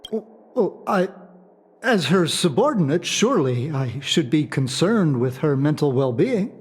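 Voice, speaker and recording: An actor playing a fussy, devoted subordinate officer in a video game dub, speaking in a theatrical, officious way. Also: male voice, a little high, big pitch swings, a little slow, breathy edge, exaggerated voice. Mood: flustered.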